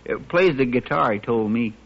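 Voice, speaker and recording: An actor with an American country drawl playing a folksy, deferential marshal's helper in a 1950s radio western, on a muffled old recording. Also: male voice, mid-range voice, expressive, normal speed, gravelly, slightly rough. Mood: eager, respectful.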